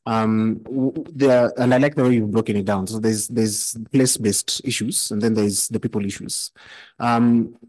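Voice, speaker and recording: A man on a conference panel answers questions into a desk microphone, hesitant and full of fillers. His voice is fairly low. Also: steady tone, moderate pace, darkish tone, poor audio. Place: conference hall at a panel table.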